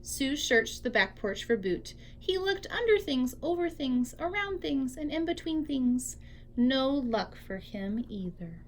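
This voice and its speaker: A woman's voice: high-pitched, big pitch swings, unhurried, a little hiss or hum. A woman teacher reading a picture book aloud expressively to young children, drawing out key words for emphasis.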